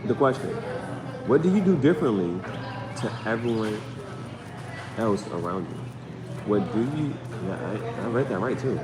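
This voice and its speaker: A man's voice: on the low side, animated, normal speed, pauses often, over loud music. A man hosting a casual live tarot reading, partly reading aloud and partly improvising, repeating phrases for emphasis. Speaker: tarot reader hosting a livestream.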